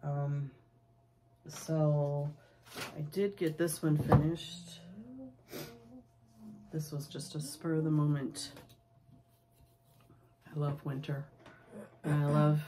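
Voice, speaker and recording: A woman hosting a casual needlework show-and-tell, sniffling with a cold and talking in a halting, rambling, homespun way. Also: low-pitched, warm tone. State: sick and sniffling. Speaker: needlework vlog host. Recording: clearly noisy home recording.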